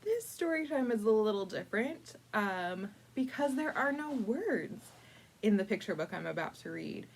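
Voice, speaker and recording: A woman hosting a casual storytime, chatty and informal, with playful, dramatic emphasis and drawn-out words as she tells a picture-book story. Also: medium pitch, big pitch swings, husky. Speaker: librarian storytime host.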